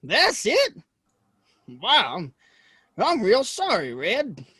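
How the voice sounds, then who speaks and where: Very high-voiced, cranky cowboy. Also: male voice, wildly swooping pitch, extremely slow, bright, forceful tone, slightly rough, no echo, clean studio sound, heavily acted. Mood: impatient. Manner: mocking, folksy. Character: cranky, sarcastic Old West saloon regular. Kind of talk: Western audio play acting.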